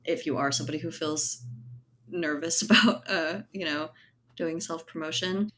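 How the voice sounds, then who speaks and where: A woman's voice: low voice, normal speed, fairly bright tone, slight background noise. A woman speaking casually as an interview guest, thinking aloud and checking in with a conversational "right?" as she explains. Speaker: guest, writer and editor. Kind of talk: casual podcast interview chat.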